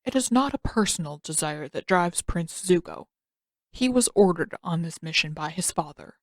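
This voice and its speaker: Woman voicing formal, measured, composed elder. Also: lowish voice, expressive, no echo, pitched below the performer's own voice.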